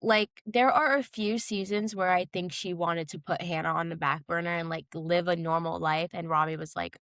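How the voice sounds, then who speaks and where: A woman's voice: on the low side, fairly even intonation, moderate pace, fairly bright tone, no echo, studio quality. A young woman co-hosting a podcast, chatting casually about pop culture in slangy, conversational language. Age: young adult. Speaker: podcast co-host.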